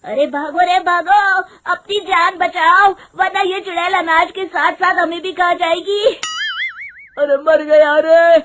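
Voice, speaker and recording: A woman voicing frightened, excitable village men in a folk story, with plaintive exclamations. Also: extremely high pitch, slow pace, voice pushed up, full character voice. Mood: panicked.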